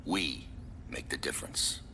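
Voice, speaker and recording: An actor playing a proud, stern military commander who speaks with solemn, emphatic authority in a video game. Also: male voice, a bass voice, a little slow, bright, forceful tone, slight background noise, exaggerated voice. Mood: grave. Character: proud, stern male military commander briefing his elite squad. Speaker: commanding officer. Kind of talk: dramatic video game cutscene acting.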